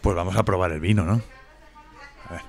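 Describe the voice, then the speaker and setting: A man's voice: deep voice, fairly even intonation, fairly quick, vocal fry, faint music behind. A man hosting a casual podcast interview, reflective and chatty, often tagging his thoughts with little questions. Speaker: podcast host. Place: wine venue indoors.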